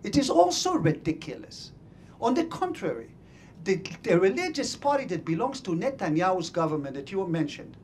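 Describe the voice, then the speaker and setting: A man's voice: very high voice, a little mellow. Emphatic middle-aged Israeli man, faint background noise. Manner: emphatic, opinionated. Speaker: audience member and scholar commenting at a panel. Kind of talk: impromptu argumentative comment at an academic discussion. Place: conference room full of seated audience. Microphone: handheld microphone.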